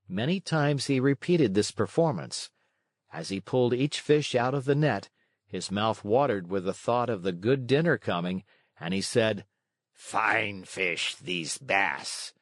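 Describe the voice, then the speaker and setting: A man's voice: on the low side, no room sound, clean studio sound. A man reading a children's story aloud as a scripted narration.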